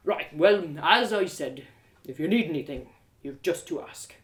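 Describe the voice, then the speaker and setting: A woman's voice: low voice, lively intonation, moderate pace, reverberant. A woman voicing a blustering, hearty middle-aged village father with a folksy, blunt way of talking.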